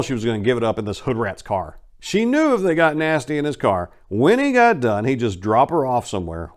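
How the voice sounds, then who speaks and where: Low voiced guy hosting a story channel, doing a dramatic reading. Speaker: channel host reading own short story.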